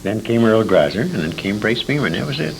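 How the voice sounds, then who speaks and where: Deep, gravelly older man, emphatic storyteller. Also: big pitch swings, a little fast. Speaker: interview guest, former radio performer. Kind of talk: reminiscing in an interview. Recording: noisy amateur convention interview tape.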